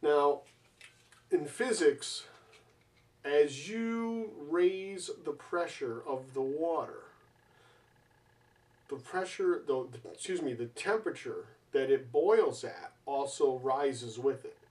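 A middle-aged man earnestly explaining home safety to a camera in a basement, often pausing with fillers. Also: fairly high pitch, lively intonation, darkish tone, recorded from a distance. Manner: earnest, gestures with his hands, many fillers. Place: basement beside a water heater, indoors.

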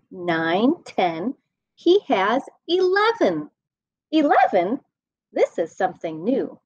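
A woman in her thirties reads a children's story aloud in an expressive, deliberate sing-song, indoors on a webcam microphone. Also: medium pitch, breathy edge. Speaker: school staff nutritional specialist reading a children's storybook. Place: indoor room at home. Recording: dull lo-fi webcam sound.

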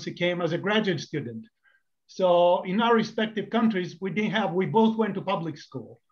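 A man's voice: high-pitched, dull audio. A middle-aged man from Greece, a parent speaking on a school panel, candid and reflective with many fillers. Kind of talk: panel discussion.

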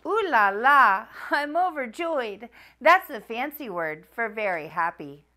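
A woman voicing a young, fancy, excitable schoolgirl in an expressive, dramatic storybook read-aloud. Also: on the high side, unhurried, heavily acted. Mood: delighted.

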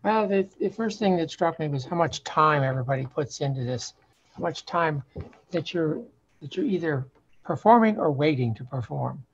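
An older man talking casually in a halting, explanatory way over a video call from a home office. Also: on the high side, animated, husky. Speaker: amateur actor new to the stage. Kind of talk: casual reflective talk. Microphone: computer on a video call. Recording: dull lo-fi video call audio.

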